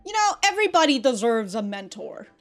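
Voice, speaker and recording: A woman hosting a reaction show, commenting casually in an animated, exclaiming way. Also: fairly high pitch, brisk, soft background music. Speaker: host of an anime reaction show.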